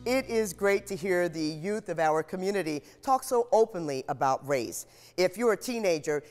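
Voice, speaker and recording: Woman hosting a local news feature. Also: lowish voice. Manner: measured, inviting questions to viewers. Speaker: TV host. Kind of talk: scripted news narration.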